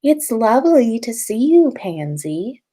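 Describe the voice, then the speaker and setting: Storybook role: dreamy, unfazed schoolgirl witch, played by a female reader, airy, unhurried, lilting. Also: on the high side, very animated, mellow, voice pushed up, exaggerated voice. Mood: serene. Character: odd, serene, dreamy younger witch schoolgirl. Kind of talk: storybook character voice.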